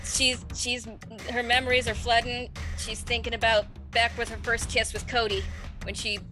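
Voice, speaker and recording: A woman playing a tabletop roleplaying game, casually improvising her character's actions in an informal, halting, thinking-aloud way. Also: on the high side, level tone, over music.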